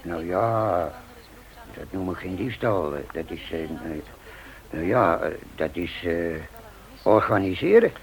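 A sheepish working-class Dutchman in a radio play. His voice is deep and subdued, and he speaks haltingly, like someone caught out. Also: sing-song, exaggerated voice. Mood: defensive, halting. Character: sheepish, hesitant working-class family man caught pilfering.

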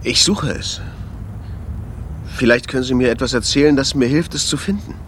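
An actor playing a troubled jazz club owner in a naturalistic radio drama. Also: male voice, medium pitch, moderate pace, a little hiss or hum, natural voice. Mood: earnest, probing.

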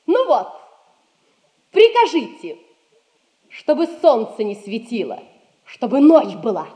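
An actress playing a pert, defiant young granddaughter in a theatrical 1960s audio play, answering back mockingly. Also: female voice, on the high side, wildly swooping pitch, slow pace, mellow, exaggerated voice. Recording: reverberant hall, distant.

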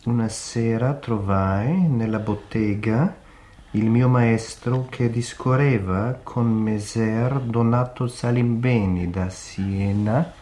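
A man's voice: mid-range voice, slow, dark tone, close to an everyday voice. A man acting in a radio play as a keen, impatient young student with an intense, eager way of speaking. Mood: absorbed. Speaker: radio drama actor.

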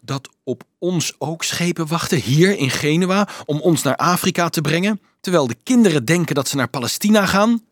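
A man's voice: medium pitch, sing-song, slightly rough, dry recording, studio quality. A man voicing an indignant, disbelieving teenage boy who questions insistently in a dramatised audiobook reading. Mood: shocked, indignant. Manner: urgent, insistent questioning, halting with shock.

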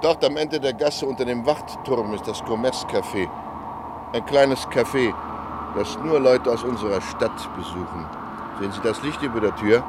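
A man plays a cryptic local townsman in a radio play, aloof and formally polite. His mid-pitched voice is measured and foreboding, with clear outdoor street noise around it. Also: close to an everyday voice. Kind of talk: German radio drama acting. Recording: noisy outdoor street ambience behind voice.

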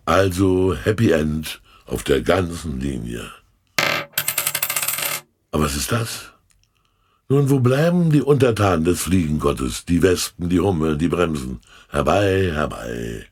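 An older statesman in a radio drama. His voice is very low and husky, and it moves expressively through formal, rhetorical sentences. He sounds avuncular and pleased with himself. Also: very animated, unhurried, a little mellow, heavily acted. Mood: puzzled, summoning. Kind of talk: German radio play acting.

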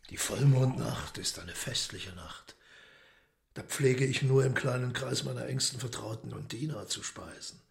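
An actor playing a courteous, commanding devil in a radio play, speaking in a suave and measured way. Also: male voice, medium pitch, creaky. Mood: gracious.

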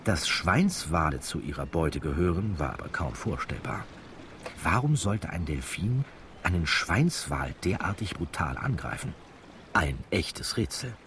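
A man's voice: sits low, very animated, measured pace, fairly bright tone, slight background noise. A man narrating a radio drama from a script, as a wry storyteller given to chatty asides. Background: music.

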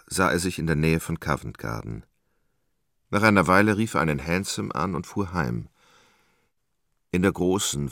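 A man narrates a literary radio play. His voice is remarkably deep with a gravelly crackle, captured close and dry. The delivery is measured, like careful storytelling. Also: fairly bright tone, dry recording.

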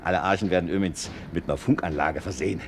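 An actor playing a proud, courteous ark builder with formal, showy sales patter, in a noisy old 1950s German radio play recording. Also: male voice, sits low, racing. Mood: self-satisfied.

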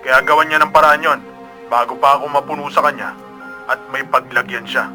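A man's voice: mid-range voice, fairly even intonation, brisk, sharp tone, faint music behind. An actor playing a stern, suspicious, confrontational father in a radio drama. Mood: angry, accusing. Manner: confrontational, demanding.

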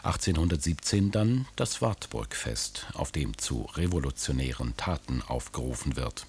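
A man giving measured, documentary-style scripted narration on history for a radio drama. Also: extremely low pitch, very animated, a little mellow, slight background noise.

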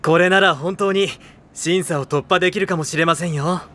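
A man's voice: high voice, steady tone, normal speed, noisy background, natural voice. A man playing a polite, mild, unflappable teenage schoolboy in an anime audio drama. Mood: agreeable. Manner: courteous, agreeable, mild. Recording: music behind the voice.

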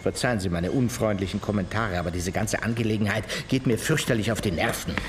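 A man's voice: lowish voice, fast talker, noisy background, lightly acted. An actor playing an irritable, condescending show-business man in a radio drama, exasperated and emphatic. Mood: irritated.

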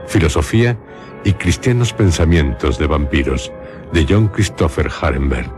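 Very deep-voiced man over music. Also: expressive, moderate pace. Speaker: host and narrator of a radio drama. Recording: music behind the voice.

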